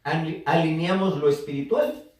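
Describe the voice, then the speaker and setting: A man's voice: fairly high pitch, fairly even intonation, normal speed, a little mellow. A man preaching a sermon to a congregation, teaching and asking them to answer back. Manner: teaching, asks the congregation to respond. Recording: echoey church room from far off.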